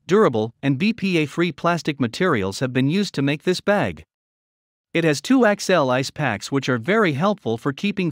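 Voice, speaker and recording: A man's voice: a little high, dry recording, clean studio sound. A man narrating a scripted product review list as a voice-over.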